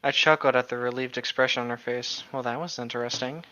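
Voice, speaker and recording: A man with a bright, forward voice at an ordinary pitch, bantering casually as a co-host. He sounds flippant, and the recording is a little dull. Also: steady tone, fast, clear, resonant tone. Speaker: podcast co-host reading and riffing on a novel. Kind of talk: casual banter with read-aloud.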